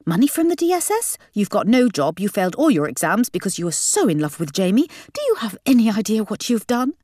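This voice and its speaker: A woman voicing an outraged, scolding middle-aged mother in an audiobook, shrill and dramatic. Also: very animated, normal speed, a little mellow, no echo, studio quality. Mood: furious, shrill.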